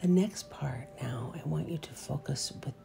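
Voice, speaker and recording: A low-pitched woman with heavy vocal fry guides a meditation. She is soft and soothing, trailing into breath as phrases end, and takes her time with gentle, unhurried delivery. Meditation music plays behind her.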